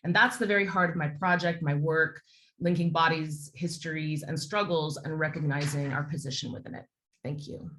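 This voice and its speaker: A woman's voice: low voice, fairly even intonation, normal speed, a bit bright, slight background noise. A woman artist presenting her work on an online panel over a video call, in an earnest, reflective tone.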